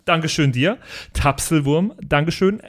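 A man with a fairly high voice speaks as a podcast host. He talks off the cuff in an informal, joking way, as if chatting with listeners and friends. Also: a little slow. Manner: jokey, informal, breaking into chuckles. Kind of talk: casual off-script chat, listener thanks and shout-outs.

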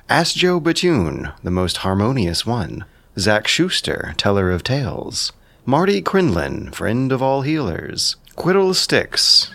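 A man's voice: lowish voice, vocal fry, fairly bright tone, no room sound. A man giving scripted commentary in an articulate, bookish way with dry asides. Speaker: writer and narrator of an audio drama.

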